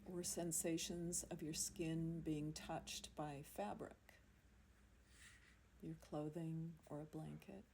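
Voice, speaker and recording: A woman leading a guided yoga nidra meditation in a soothing, unhurried manner, leaving long pauses between phrases. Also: low-pitched, fairly even intonation, gravelly, a little mellow. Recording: amateur recording with faint background noise.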